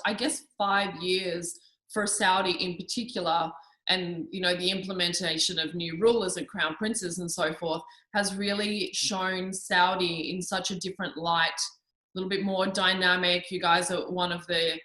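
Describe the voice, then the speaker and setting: A woman's voice: lowish voice, flat delivery, normal speed, echoey room, rough recording. A woman hosting a video-call interview, encouraging and affirming her guest often. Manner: warm and encouraging, affirms often with "I know" and "absolutely". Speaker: interview host.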